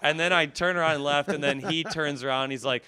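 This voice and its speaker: A man's voice: a little high, fairly quick, very noisy. A man telling self-deprecating comic stories as a podcast guest in casual, profane talk, often acting out dialogue. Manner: laughs through his stories, acts out dialogue. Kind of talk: animated comedic storytelling, casual chat.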